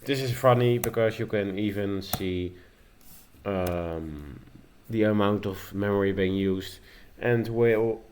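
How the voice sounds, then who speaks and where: Male tutorial host, low and unhurried, halting and a little nervous, faint hiss behind. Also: a little mellow. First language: Dutch. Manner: nervous, hesitant, with many fillers and restarts. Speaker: tech video host. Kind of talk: casual screen-recording tutorial.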